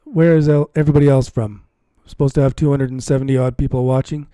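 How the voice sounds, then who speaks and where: A man's voice: mid-range voice, steady tone, quick pace, dark tone, no echo. A man hosting a live stream, commenting casually and chatting with viewers, often repeating phrases for emphasis. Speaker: livestream host and cryptocurrency trading commentator.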